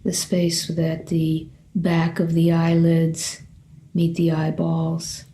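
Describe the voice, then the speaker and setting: Woman leading a body scan, really slow n gentle, flat steady pitch, long pauses, bit of hiss behind her. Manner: gentle, unhurried, with long pauses between short phrases. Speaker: meditation teacher. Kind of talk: guided body scan meditation.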